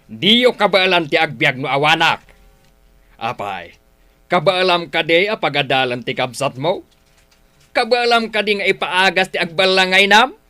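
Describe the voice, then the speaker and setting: A man's voice: high-pitched, expressive, moderate pace, sharp tone. An actor with an Ilocano accent playing a cruel, hot-tempered, middle-aged father in a radio drama, scornful and taunting. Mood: mocking.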